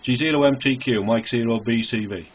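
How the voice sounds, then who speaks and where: Male amateur radio operator, casual and reassuring, slightly husky, dull lo-fi sound. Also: medium pitch, fairly quick. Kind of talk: casual ham radio contact with call signs. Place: small indoor radio shack. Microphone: small camera near the radio's speaker. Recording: dull, muffled camcorder recording in a radio shack.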